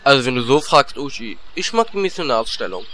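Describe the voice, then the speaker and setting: A flippant, lewd fantasy spellcaster, acted by a man, from a comic audio play. His voice is sharp and animated, with a little noise in the background. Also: medium pitch, a little fast. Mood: cheeky. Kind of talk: comic audio drama acting.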